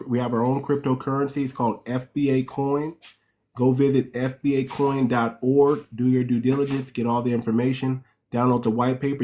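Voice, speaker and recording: A man's voice: medium pitch, darkish tone. A man hosting a live call-in talk room, plain-spoken, moving from plugging merch to preaching. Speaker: host.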